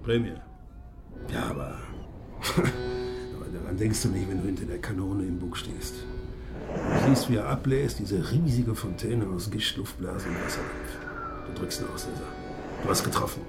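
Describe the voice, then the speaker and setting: Coarse, volatile whaler. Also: male voice, lowish voice, wildly swooping pitch, normal speed, long pauses, very noisy. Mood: exhilarated. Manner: coarse, cursing, swinging between brooding and boastful. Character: gruff defiant whaler, proud harpoon gunner. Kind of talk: radio drama acting.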